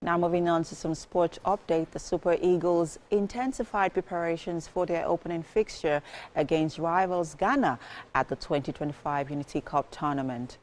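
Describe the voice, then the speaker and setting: A Nigerian woman's low voice at the breakfast-television news desk, reading out the sports update over faint noise. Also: normal speed, a little mellow. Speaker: news presenter. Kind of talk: scripted sports news bulletin.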